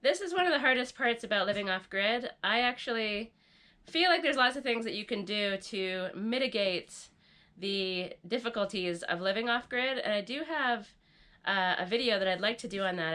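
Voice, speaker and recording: A woman talks to camera in a relaxed, chatty vlog manner, thinking aloud as she goes. Her voice is bright and forward, though audibly stuffed up and congested. She sounds easygoing and unhurried indoors in a rustic barn. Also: medium pitch. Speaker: vlogger renovating an off-grid barn. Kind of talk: casual vlog talk to camera.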